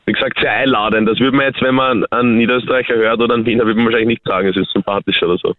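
A man co-hosting a radio show, chatting in a relaxed, hesitant way. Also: mid-range voice, very fast, no echo, phone-quality sound. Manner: relaxed, hesitant with fillers, joking. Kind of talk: casual radio chat. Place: radio studio.

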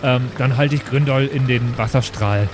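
A man's voice: medium pitch, normal speed, noisy background. A man playing in a tabletop game podcast, chatting and improvising casually in role.

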